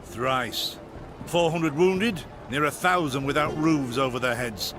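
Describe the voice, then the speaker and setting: An actor playing an earnest, frank royal adjutant in a game, clipped and deferential. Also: male voice, mid-range voice, measured pace, noisy background, close to an everyday voice. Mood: grim. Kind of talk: dramatic scripted game dialogue. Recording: music under the voice.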